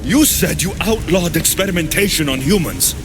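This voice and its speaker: An actor playing an earnest, defiant hero in a video game, with a blunt way of talking. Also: male voice, a little high, expressive, normal speed, natural voice. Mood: defiant, angry. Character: earnest, defiant human hybrid hero, male. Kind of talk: dramatic video game acting. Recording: loud music behind the voice.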